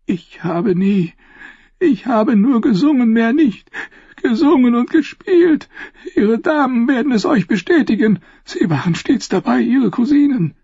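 A man's voice: very high voice, lively intonation, moderate pace, hardly any pauses, a little mellow, breathy edge, no room sound, muffled recording, pitched above the performer's own voice, exaggerated voice. A man voicing a frightened young male singer in an audiobook, pleading tearfully as he protests. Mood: sobbing, pleading.

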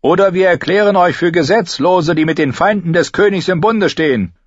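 A man acts out an aggressive armored knight in an audiobook. The voice is pushed high and husky, commanding and menacing, on a dry recording. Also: brisk, no echo, dull audio. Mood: threatening.

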